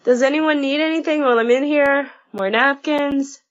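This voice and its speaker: Woman acting high-voiced, casual young woman. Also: moderate pace, muffled recording, natural voice. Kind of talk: comic dub voice acting.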